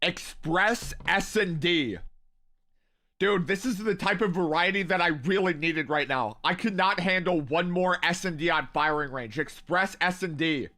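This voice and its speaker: A young man in his twenties hosting a live watch party, rambling excitedly to his chat and saying he is losing his voice. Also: high voice, a little slow. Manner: hyped, rambling, talks to chat.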